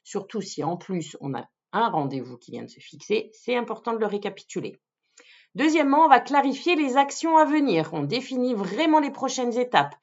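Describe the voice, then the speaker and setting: Lady doing a tutorial like a sales coach, animated intonation, teachy step by step, sound kinda muffled. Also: on the high side, normal speed. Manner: didactic, step-by-step explaining. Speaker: phone sales coach presenting a tips video. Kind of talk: instructional talk to viewers.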